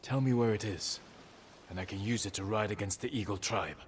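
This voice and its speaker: Man acting stoic samurai, deepish male voice, formal, restrained, earnest video game hero. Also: brisk, slight background noise, lightly acted. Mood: resolute.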